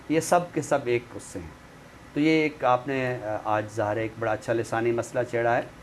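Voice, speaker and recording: A man with a Pakistani accent discussing language and literature as an expert, explaining didactically with examples. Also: medium pitch. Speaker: literary commentator and guest expert on a talk show. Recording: clear background noise.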